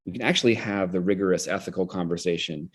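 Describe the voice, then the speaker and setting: A man with a low-pitched voice talks as an interview guest. He is articulate and intellectual, thinking ideas through aloud and sometimes restarting a phrase as he searches for wording. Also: fast talker, husky. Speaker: interview guest, theater director. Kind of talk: reflective conversational explanation.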